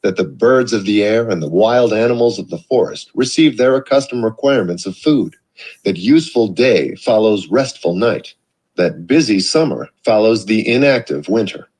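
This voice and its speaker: A man reading a self-help book aloud in a measured, expressive way. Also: fairly low pitch, husky, rough recording. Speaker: audiobook reader. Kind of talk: read-aloud narration.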